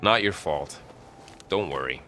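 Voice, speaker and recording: An earnest, animated young warrior. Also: male voice, low voice, measured pace, slight background noise, heavily acted. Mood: anxious, wry. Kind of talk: naturalistic video game acting.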